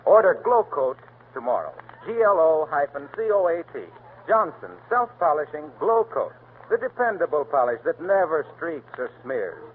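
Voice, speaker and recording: Male announcer, lively delivery, tinny 1930s radio with hiss. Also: normal speed. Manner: polished, upbeat pitch. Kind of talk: scripted radio commercial.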